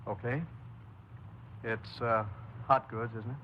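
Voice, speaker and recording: An actor playing a wary, haggling service station fence in a 1950s radio crime drama, with brisk colloquial speech. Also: male voice, mid-range voice, fairly even intonation, lightly acted. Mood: wary, bargaining. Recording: dull, faintly noisy 1950s radio recording.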